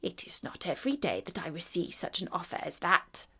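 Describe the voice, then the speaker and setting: A woman's voice: lowish voice, very animated, fairly quick, gravelly, a bit bright, husky, whispered, voice pushed down, full character voice. A woman voicing a small, merry and cunning male tailor in a storybook, cocky, boastful and teasing. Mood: confident.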